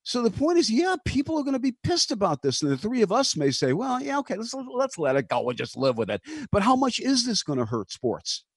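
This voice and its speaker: Animated male sports talk host. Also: high-pitched, a little fast, few pauses, husky, no room sound, clean studio sound. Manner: animated, pressing questions, reads news items. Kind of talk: sports talk radio discussion.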